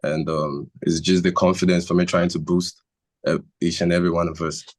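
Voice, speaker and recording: A young musician talks through his story in a casual interview. His voice sits low and barely moves in pitch. He speaks earnestly, stopping and restarting as he looks for words. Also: level tone, darkish tone. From Nigeria. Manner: earnest, halting, circles back on his words. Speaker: musician guest.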